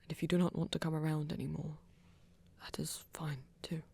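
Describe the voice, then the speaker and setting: Woman acting flirty vampire, husky whispery voice, creaky edge, patient, elegant, soft ASMR roleplay. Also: low voice, flat delivery, a little fast, heavily acted. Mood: earnest, restrained. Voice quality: breathy, husky. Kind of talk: intimate ASMR romance roleplay.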